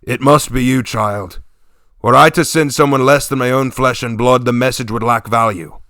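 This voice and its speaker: A man voicing a stern, gruff warlord chieftain speaking to his daughters, grave and commanding. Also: medium pitch, steady tone, bright, forceful tone, no echo, studio quality, pitched above the performer's own voice. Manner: commanding, grave, slow and weighty.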